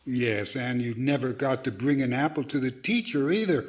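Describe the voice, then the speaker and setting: Man as a lively, patient comedy straight man. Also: medium pitch, normal speed, muffled recording, close to an everyday voice. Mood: patient, chiding. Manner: polite, probing, gently chiding. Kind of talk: 1940s radio comedy acting. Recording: noticeable background noise.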